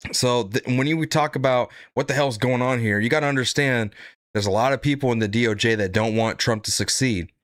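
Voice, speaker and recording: A man's voice: mid-range voice, a little fast, fairly bright tone, no room sound, studio quality. A man hosting casual political reaction commentary, blunt and profane, rambling with frequent "right?" tags.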